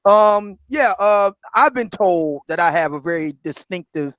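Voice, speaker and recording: A man's voice: high-pitched, lively intonation, measured pace, fairly bright tone, no echo. Philly-accented man in his fifties. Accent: Philadelphia. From Philadelphia. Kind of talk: casual livestream chat.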